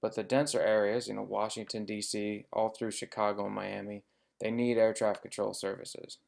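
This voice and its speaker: A man's voice: on the low side, flat delivery, moderate pace, vocal fry. A man giving an informative slide presentation as an air traffic controller, explaining things plainly with touches of dry humour.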